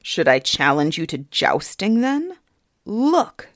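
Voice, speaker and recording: A woman voicing a young male fairy king who is cold, mocking and menacing, speaking slowly and softly. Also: mid-range voice, sing-song, no echo, clean studio sound. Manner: slow, soft, taunting.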